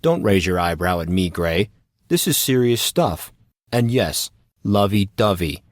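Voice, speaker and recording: Teenage boy, acted by a man, lowish pitch, pompous, indignant, earnest, interrogating, audio drama, dry. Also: lively intonation, measured pace, no room sound. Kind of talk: audio drama character dialogue.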